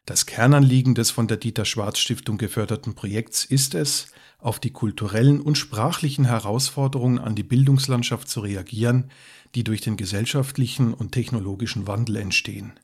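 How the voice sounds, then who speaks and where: A man hosting a podcast, reading a formal, measured introduction and then asking his guest questions. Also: medium pitch, breathy edge. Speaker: podcast host. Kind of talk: scripted introduction and interviewing.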